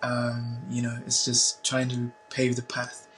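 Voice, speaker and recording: A young man from Zimbabwe, a guest in an interview, talking casually in a halting way with many restarts. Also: mid-range voice, monotone, fairly bright tone, faint music behind, poor audio. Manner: halting, repeats words and restarts often. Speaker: guest, bitcoin community founder.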